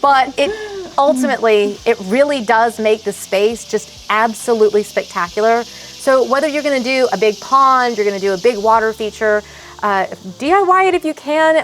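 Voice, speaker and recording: A woman's voice: fairly high pitch, lively intonation, fairly quick, slight background noise. A woman in her thirties hosting a home makeover video outdoors, chatting enthusiastically and laughing often. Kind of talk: casual on-camera chat and tips. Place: outdoors in a backyard garden.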